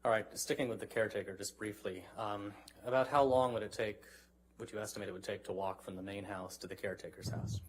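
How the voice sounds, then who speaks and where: A man's voice: fairly low pitch, steady tone, fast talker, a little hiss or hum, rough recording. A man questioning a witness in court as a lawyer, methodical and probing.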